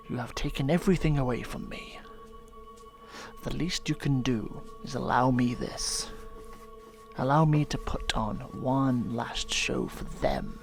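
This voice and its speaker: A soft-spoken television painter gone unhinged, as a man acts him out. He whispers slowly and soothingly, his pitch rising and falling in lively swoops. Faint music plays underneath. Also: creaky, heavily acted. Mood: bitter. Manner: slow, soothing, softly menacing. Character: gentle middle-aged male TV painter turned unhinged. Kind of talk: horror ASMR role-play.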